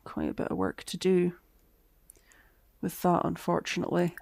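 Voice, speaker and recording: Husky-edged woman streaming a game. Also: lowish voice, big pitch swings, normal speed, a little mellow. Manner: chatty, talks to her game characters. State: slightly hoarse, losing her voice. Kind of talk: live-stream gameplay commentary.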